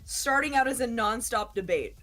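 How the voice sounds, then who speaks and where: High-voiced young woman chatting over music. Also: lively intonation, moderate pace, pushed and bright. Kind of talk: casual livestream chat. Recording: music behind the voice.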